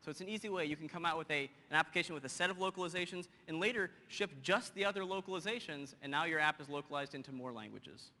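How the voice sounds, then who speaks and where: A man in his thirties giving a lively live software demo on a conference stage, joking with the audience. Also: a little high. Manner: energetic, quick and joking with the audience. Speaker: presenter. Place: large conference hall stage. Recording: hall reverb, faint noise.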